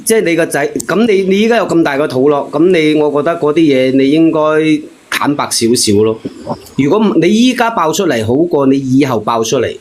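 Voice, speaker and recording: A man giving casual advice on a call-in show, reasoning point by point in a measured way. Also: fairly high pitch, lively intonation, a little mellow, a little hiss or hum.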